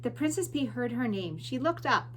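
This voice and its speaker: A woman teacher giving class announcements and reading a story aloud with deliberate, expressive emphasis. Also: a little high, a little hiss or hum. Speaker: elementary school teacher.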